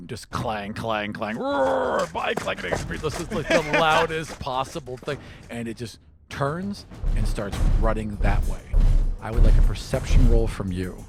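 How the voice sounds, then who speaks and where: A man running a tabletop game as game master, telling the scene in slow, dramatic narration that drops to hushed whispers. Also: medium pitch, big pitch swings, vocal fry, music in the background.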